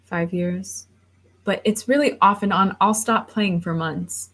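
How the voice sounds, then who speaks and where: A woman in her thirties hosts a relaxed livestream chat at a slow, easygoing pace. She rambles and circles back on her thoughts, reading viewer comments aloud and answering them one by one. Her voice sits at an ordinary middle pitch. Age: 30s. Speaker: livestream host.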